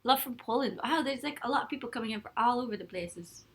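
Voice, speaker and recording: Girl in bed doing a chatty livestream, bright tone, rambles a lot. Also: fairly high pitch, lively intonation, fast talker. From Ireland. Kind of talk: casual live-stream chat, reading comments. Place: bedroom, in bed.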